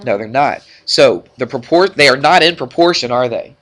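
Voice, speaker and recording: A male math teacher works through problems for his class. He sounds congested and stuffed up. His delivery is informal, full of short check-in questions, at an ordinary middle pitch. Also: expressive, moderate pace, a bit bright. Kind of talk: classroom worked-problem lesson.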